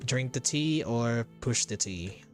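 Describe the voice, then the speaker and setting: A man giving casual live commentary on a video game playthrough, thinking aloud hesitantly and repeating himself. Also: mid-range voice. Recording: game music behind the voice. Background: video game music.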